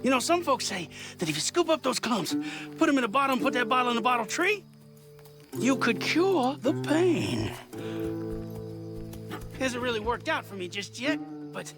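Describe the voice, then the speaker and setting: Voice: mid-range voice, very animated, moderate pace, pauses often, over music. An actor voicing a wary, superstitious rural swamp dweller in a video game, blunt and folksy, with a Southern American accent. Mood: wary, softening. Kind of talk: video game character dialogue.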